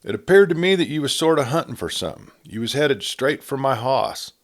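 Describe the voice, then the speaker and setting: A man voicing a soft-spoken young Western drifter with a quiet, gentle drawl that stays calm and steady. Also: mid-range voice, brisk, voice pushed up, close to an everyday voice.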